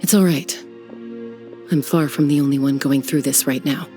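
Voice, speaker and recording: A man's voice: mid-range voice, steady tone, normal speed, music in the background, close to an everyday voice. A man voicing a grieving, resolute young warrior in a video game, subdued and solemn.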